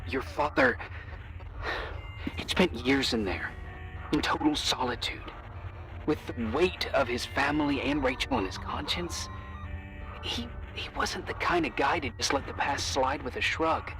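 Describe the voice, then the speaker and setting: A man's voice: fairly high pitch, sing-song, measured pace, creaky, long pauses, bright, forceful tone, soft background music, heavily acted, poor audio. An actor playing a concerned, cautious man in a video game, speaking in an earnest, pleading, insistent way. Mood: grave, insistent. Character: concerned, cautious man urging a woman to safety. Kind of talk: naturalistic video game voice acting.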